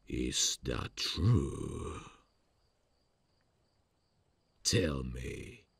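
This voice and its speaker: Man acting as smitten slasher killer, extremely low pitch, swooping intonation, gravelly, childlike, halting, shy, horror-parody ASMR roleplay, close microphone. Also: no echo, exaggerated voice. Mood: hurt, suspicious. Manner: halting, giggly, shy, slow. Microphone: close dry microphone.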